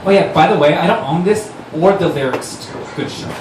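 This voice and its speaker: Echoey noisy recording, man putting on a bratty teen girl writer, high, huffy, defiant, gushing. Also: a little fast, natural voice. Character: defensive, bratty teenage girl fan fiction author. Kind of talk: dramatic stage reading of author's notes. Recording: noisy, echoey live room recorded from far off.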